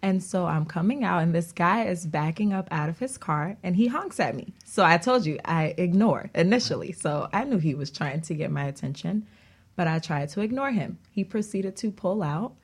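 A woman's voice: lowish voice, darkish tone, breathy edge. A woman telling personal stories in a casual, chatty way, acting out bits of dialogue as a talk show guest. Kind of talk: casual conversational storytelling.

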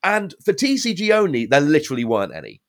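A man's voice: on the high side, fast talker. A man in his thirties, a podcast host, chatting casually and telling stories with animation. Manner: animated, lively storytelling. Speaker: podcast host and card game caster. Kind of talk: casual podcast interview chat.

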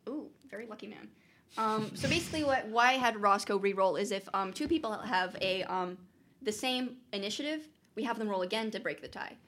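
A woman's voice: mid-range voice, fairly quick, fairly bright tone, slight background noise. A young woman running a tabletop game as game master, chatty and laughing often, with lots of fillers.

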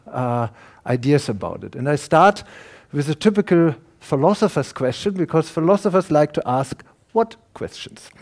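A man with a German accent giving an earnest, explanatory public lecture, his voice swinging from quiet asides to emphatic points. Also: a little high. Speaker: guest lecturer.

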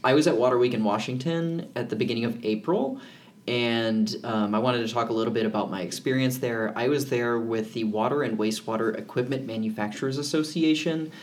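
A man co-hosting a podcast, talking in a relaxed, rambling way with many fillers and restarts. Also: mid-range voice, a little fast. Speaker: podcast co-host. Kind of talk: casual podcast chat.

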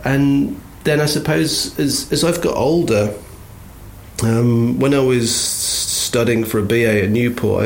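A man's voice: medium pitch, vocal fry. A man in his late thirties from Birmingham, England, slightly nasal with a cold, reflecting hesitantly on his own life. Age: late 30s. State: getting over a cold. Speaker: photographer, podcast guest. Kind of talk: reflective personal monologue.

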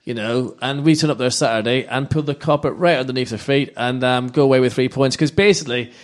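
A man hosts a casual football fan podcast, teasing his co-hosts as he runs through the topics. His voice sits at a middling pitch. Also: quick pace. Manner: teasing, jokey, drives the discussion. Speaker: podcast host.

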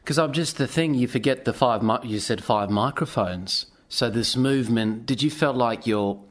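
A man's voice: mid-range voice, moderate pace. A man hosting a podcast interview, putting questions to his guests in a casual, chatty way. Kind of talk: casual chat.

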